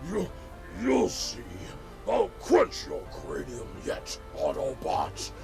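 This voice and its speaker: A man voicing a brutish, taunting robot henchman in a video game, with a menacing gravelly growl. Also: a little high, huge pitch swings, heavily acted. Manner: menacing, drawn-out threats. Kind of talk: video game cutscene acting. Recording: loud music behind the voice.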